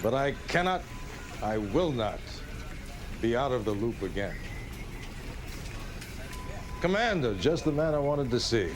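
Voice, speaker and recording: Power-hungry director, smooth politician delivery, medium pitch, video game drama, noisy background. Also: male voice, sing-song, a little slow, long pauses. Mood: insistent. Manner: politician-like, emphatic, self-assured.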